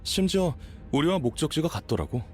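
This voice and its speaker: A man's voice: a little high, sing-song, racing, a little mellow, faint music behind. An actor voicing a man who gives sly, informal mission briefings in a video game. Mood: casual, knowing. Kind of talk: Korean game voice acting.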